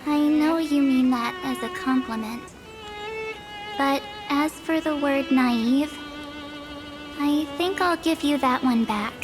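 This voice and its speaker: A woman's voice: high voice, takes it slowly. An actress playing a sweet, playfully boastful girl in a video game, with a girlish manner. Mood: wry. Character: sweet, naive girl, playfully proud of herself. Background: music.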